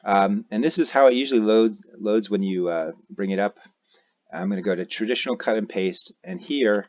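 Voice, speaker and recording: An American man giving an unscripted software walkthrough, hesitantly thinking aloud as he goes. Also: sits low, lo-fi sound. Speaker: tutorial presenter, amateur radio operator. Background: keyboard typing and mouse clicks.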